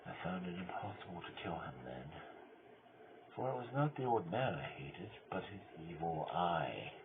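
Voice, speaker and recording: A man playing a nervous, boastful mad murderer who insists he is sane, told as dramatic gothic horror with swings between loud insistence and hushed whispering. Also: low voice, sing-song, moderate pace. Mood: creeping, hushed. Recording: muffled lo-fi microphone with loud noise.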